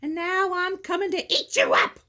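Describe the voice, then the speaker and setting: Storybook troll, greedy and threatening, very high voice, bullying delivery female reader acting it. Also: normal speed. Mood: menacing. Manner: shouting, threatening, demanding. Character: menacing greedy troll guarding his bridge.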